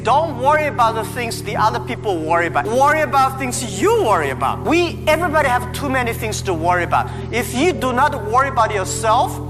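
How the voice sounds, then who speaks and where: A man's voice: very high-pitched, sing-song, a little fast, hardly any pauses. A man giving a motivational speech, repeating his words for emphasis. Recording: long hall reverb, music behind. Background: music.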